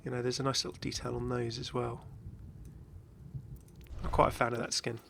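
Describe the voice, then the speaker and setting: A man's voice: medium pitch, completely flat delivery, moderate pace, creaky, fairly bright tone, a little hiss or hum. A man giving casual, off-the-cuff game commentary as a video host, chatty and rambling with enthusiastic asides.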